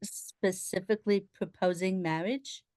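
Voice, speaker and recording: A woman playing a breezy, teasing upper-class gentleman in a comic period radio play, jaunty and mocking with posh old-fashioned diction. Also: mid-range voice, fairly even intonation, measured pace, no room sound, close to an everyday voice. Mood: amused disbelief.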